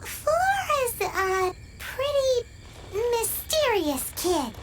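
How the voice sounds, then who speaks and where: A woman voicing a tiny, excitable, whiny fairy companion in a video game, with a squeaky childlike voice and an exclaiming manner. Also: drawn-out words, over loud music, full character voice. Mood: puzzled. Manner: refers to self in third person, exclaiming. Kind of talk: animated video game voice acting.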